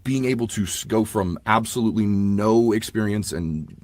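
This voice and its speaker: A man's voice: lowish voice, normal speed, a little mellow, no echo. A man speaking casually and earnestly as an interview guest on audiobook work, often padding his sentences with "you know.". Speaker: guest, audiobook narrator and producer.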